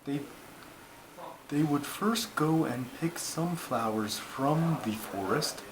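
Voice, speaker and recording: A man's voice: a little high, animated, slow pace, a crowd in the background. A man voicing a pompous, schoolmasterly turtle puppet who tells stories in a ponderous, formal, easily put-out way. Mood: measured storytelling. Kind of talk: comic scripted storytelling. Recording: noisy amateur home recording.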